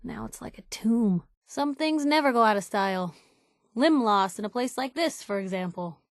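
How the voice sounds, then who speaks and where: An actress playing a sardonic, plucky young reporter with an American accent, making casual companion remarks in a video game. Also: female voice, fairly high pitch, lively intonation, moderate pace, no room sound, studio quality, natural voice. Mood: wry, cautious. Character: wry, plucky young female reporter in a post-nuclear wasteland.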